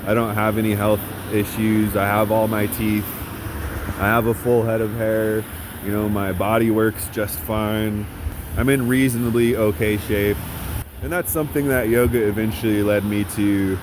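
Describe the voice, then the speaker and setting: A man's voice: lowish voice, fairly even intonation, normal speed, noisy background. A man giving a blunt, profane monologue, full of sarcastic asides. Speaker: vlogger.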